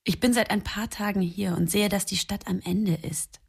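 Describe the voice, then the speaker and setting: An actress playing a blunt, teasing, world-weary young woman in a radio drama, with a husky, creaky voice and a frank way of talking. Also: medium pitch, steady tone, normal speed. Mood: bitter, weary.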